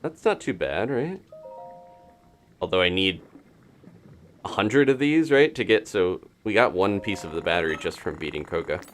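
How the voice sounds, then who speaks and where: A man's voice: sits low, expressive, normal speed, pauses often, faint music behind. A man chatting casually through a live-streamed game, wondering aloud and laughing often. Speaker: streamer playing a video game.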